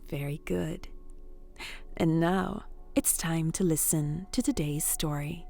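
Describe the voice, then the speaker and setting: A woman hosting a bedtime story, guiding relaxation in a soft, soothing, often whispered voice. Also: sits low, steady tone, slow, vocal fry, faint music behind. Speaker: storyteller and host.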